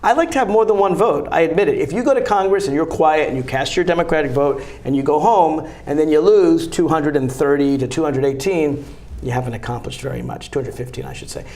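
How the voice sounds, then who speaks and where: A man with a fairly high speaking voice makes his campaign pitch in a TV studio interview. He talks fast and emphatically, pressing each point like a fighter. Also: darkish tone. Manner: emphatic, combative, rapid-fire argument. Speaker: congressional candidate. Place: local cable TV studio.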